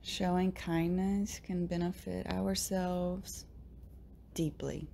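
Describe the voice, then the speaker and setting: A woman leading a guided meditation in a soft, breathy, often near-whispered voice, gently soothing, with long pauses between short phrases. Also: lowish voice, little pitch movement, slight background noise. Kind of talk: guided meditation, slow and hushed.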